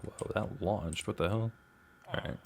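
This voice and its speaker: A man talks his way through a game. His delivery is casual and slangy, with self-deprecating asides and exclamations, as if thinking out loud for his viewers. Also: fairly low pitch, unhurried, vocal fry, slight background noise. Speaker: gaming video host. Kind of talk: casual gameplay commentary, talking to himself.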